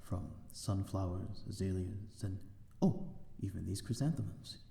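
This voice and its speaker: Man playing a deep, mellow, gentlemanly vampire. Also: unhurried, creaky, reverberant. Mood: serene, refined. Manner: genteel, formal, hesitant, old-fashioned wording. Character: courteous, lonely male vampire lord of a manor. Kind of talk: ASMR audio roleplay, amateur drama.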